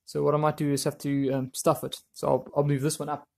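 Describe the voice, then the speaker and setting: A man presenting a hands-on how-to in a casual, offhand way, thinking aloud as he works, with a husky, creaky voice. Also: on the high side, level tone, quick pace, darkish tone. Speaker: DIY video presenter.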